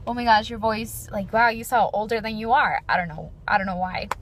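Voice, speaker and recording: A woman in her twenties, talking earnestly and chattily to her viewers, with a fairly deep voice. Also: steady tone, brisk. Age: 20s. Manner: earnest, chatty, frequent "like" and "you know". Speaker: beauty vlogger. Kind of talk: personal reflective chat to camera.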